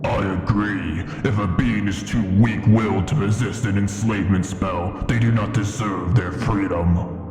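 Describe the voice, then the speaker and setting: An old, haughty dragon acted by a man holds forth in a deep voice, weighing each formal word slowly. The sound rings with long cavernous reverb, in an immersive fantasy ASMR roleplay style. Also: steady tone, darkish tone, lightly acted. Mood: indignant, resolved. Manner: slow, imperious, formal and deliberate. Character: ancient proud male dragon in his cavern lair. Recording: echoing cavern reverb with music behind. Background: music.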